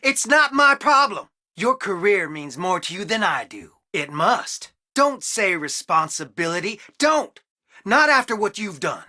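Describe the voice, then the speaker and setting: A man's voice: sits high, very animated, a little slow, bright, forceful tone, dry recording, heavily acted. Wounded young man, conflicted, earnest, strained delivery, dramatic video game dialogue, very dry sound. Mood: bitter, angry.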